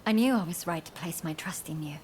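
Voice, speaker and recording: A woman's voice: on the low side, fast talker, a little mellow, a little hiss or hum, close to an everyday voice. Woman acting a compassionate, resolute doctor. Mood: grateful.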